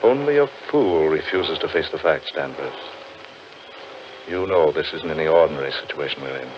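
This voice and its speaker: Foreboding low-voiced man, lost in haunted woods, ominous radio horror delivery, hissy vintage recording. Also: expressive. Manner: ominous, theatrical, deliberate. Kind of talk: 1940s radio horror acting. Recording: muffled, noisy 1940s radio recording.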